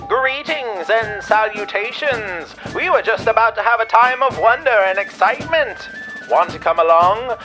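A woman's voice: a little high, animated, normal speed, over music, low-quality recording. A woman announcer delivering a scripted introduction to a show.

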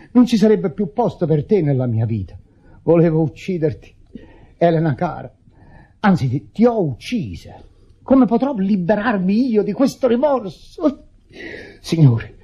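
Casting: tormented widower in radio drama, husky, widely swinging delivery, impassioned and accusing. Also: male voice, on the high side, mellow, heavily acted. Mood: anguished, pleading. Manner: impassioned, declamatory, anguished. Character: guilt-ridden brother, tormented widower, melodramatic and accusing. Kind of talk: theatrical Italian radio drama acting. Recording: muffled old radio broadcast recording.

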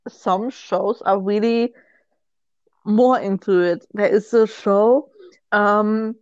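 A woman's voice: medium pitch, fairly even intonation, slow, darkish tone, no room sound. A woman, chatting casually and hesitantly in English, searching for words. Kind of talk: casual chat. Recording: dull lo-fi close dry sound.

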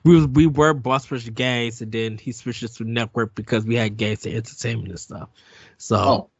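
A man's voice: mid-range voice, steady tone, normal speed, husky, dry recording, lo-fi sound. A man in his early forties chatting casually as a podcast host.

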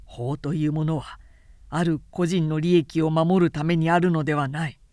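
Lady reading as calm stern samurai guy, low quiet voice, very formal, grave, dry close sound, audiobook. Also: normal speed, no room sound, close to an everyday voice. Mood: stern, lecturing. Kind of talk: literary audiobook character reading.

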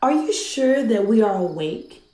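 Earnest young male lover, played by a woman, roomy sound, unhurried Shakespearean radio verse. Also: mid-range voice, sing-song, mellow. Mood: dazed, wondering. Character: earnest, lovestruck young Athenian noble suitor, male.

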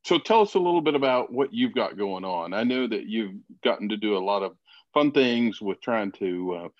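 Laid-back, lower-voiced man co-host. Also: lively intonation, moderate pace, dry recording, lo-fi sound. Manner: teasing, laid-back, laughs easily. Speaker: podcast co-host. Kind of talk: casual chat and interviewing.

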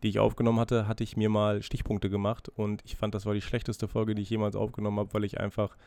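Verschnupfter Mann, nachdenklicher Podcast-Monolog. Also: male voice, fairly low pitch, completely flat delivery, fast talker, warm tone. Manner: freely spoken, musing, self-reflective with hesitations. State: has a cold, breathing heavily. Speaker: podcast host. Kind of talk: casual solo podcast monologue.

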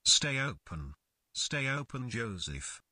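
A thoughtful, inquisitive man voiced by an actor musing to himself in a story. His voice is strikingly sharp and forceful, with animated pitch. He reasons with emphasis, in a very dry recording. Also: takes it slowly, no echo, full character voice. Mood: contained excitement. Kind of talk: audio fiction character dialogue.

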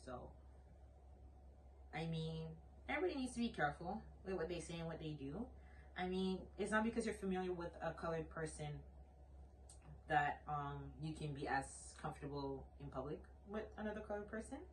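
Outspoken young woman, low voice, roomy sound. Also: animated, brisk, a little hiss or hum. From Canada. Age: late 20s. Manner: emphatic, blunt, outspoken, with lots of filler "like". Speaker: podcast co-host. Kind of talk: casual conversational discussion. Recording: roomy room sound, distant mic.

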